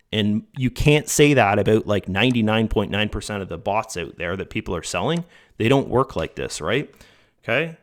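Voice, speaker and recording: A man with a lowish voice that is going a little hoarse from overuse. He rambles casually through what he shows on screen, stopping to correct himself and talking straight to his viewers. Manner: rambling, self-correcting, addresses viewers as guys. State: slightly hoarse, losing his voice. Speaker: channel host reviewing a trading bot. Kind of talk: casual screen-share commentary.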